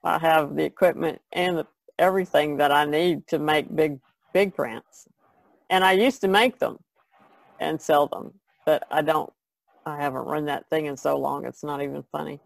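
A woman's voice: sits low, steady tone, normal speed, vocal fry, dry recording, rough recording. A middle-aged or older woman, an artist, chatting casually on a podcast. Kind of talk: casual chat.

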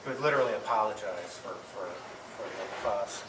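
A middle-aged man giving an affectionate eulogy to an audience, speaking haltingly with pauses and repeated words. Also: fairly low pitch. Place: medium-sized indoor hall with audience. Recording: distant mic, echoey room, clear background noise.

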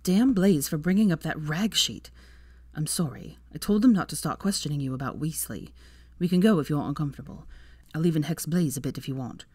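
A woman's voice: low-pitched, brisk, warm tone, breathy edge, dry recording. Woman reading as wry protective wizard guy in a story, reassuring, dry close recording. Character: wry, protective adult male wizard, devoted friend.

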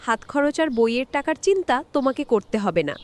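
Devoted, determined young daughter, played by a woman for cartoon drama: high-pitched, earnest, reassuring. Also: big pitch swings, fast, a little mellow, slight background noise.

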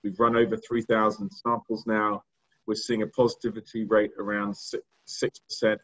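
Middle-aged guy, non-american accent, low flat voice, lots of ums and restarts, dull webcam sound. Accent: non-American English. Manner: halting, many fillers and repeated words. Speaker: diagnostics company executive. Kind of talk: presenting slides on a video call. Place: indoor room. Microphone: video call webcam. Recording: dull lo-fi video call audio.

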